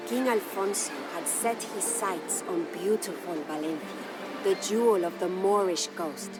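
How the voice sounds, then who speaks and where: An actress playing a devoted, sorrowful medieval Spanish noble wife who narrates her husband's legend in a solemn, measured way for a game. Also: medium pitch, lightly acted. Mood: hopeful, solemn. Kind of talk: scripted dramatic storytelling narration. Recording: studio narration over prominent music.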